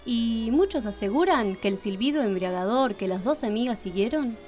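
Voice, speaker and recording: Higher-voiced Argentine woman narrating. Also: lively intonation, moderate pace, darkish tone, faint music behind. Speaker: radio play narrator. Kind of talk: scripted narration.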